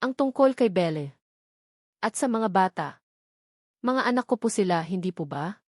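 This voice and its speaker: A woman's voice: medium pitch, expressive, normal speed, no room sound, lightly acted. Woman narrator as young man, remorseful, earnest, pleading, polite, romance drama, dry sound. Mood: direct, pleading.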